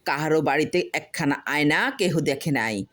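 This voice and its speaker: A woman reading a humorous folk story as scripted narration, in an expressive, literary storytelling manner. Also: sits low, normal speed.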